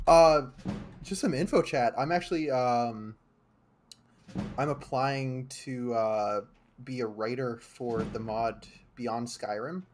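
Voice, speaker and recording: A man's voice: mid-range voice, slow, faint music behind. Young man rambling with lots of fillers. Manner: rambling, many fillers and restarts. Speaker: streamer playing the game. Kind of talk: casual chat while gaming. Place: home room.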